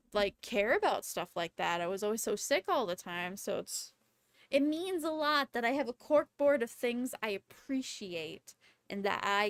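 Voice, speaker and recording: A woman in her twenties chatting casually on a livestream in a rambling, playful, excitable and slangy way. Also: medium pitch, dry recording. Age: 20s. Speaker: livestreamer, VTuber host.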